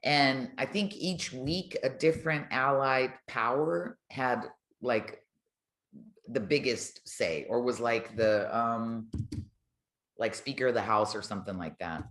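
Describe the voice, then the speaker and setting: A woman's voice: very deep voice, slow pace, a bit bright, echoey room. An older woman, chatting and telling stories as an interview guest in a colloquial way. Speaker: retired air traffic controller, podcast guest. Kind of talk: casual storytelling in an interview.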